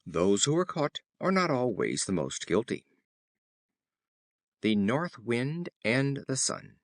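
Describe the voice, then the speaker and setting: A man reading children's fables as an audiobook narrator, in a measured, formal storybook style. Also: mid-range voice, very animated, no room sound, clean studio sound.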